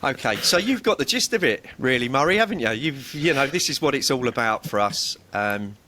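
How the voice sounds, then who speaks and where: A man's voice: medium pitch, expressive, a little fast, noisy background. A man co-hosting a podcast, bantering casually with a blunt, rambling way of talking and the odd swear word. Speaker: podcast co-host.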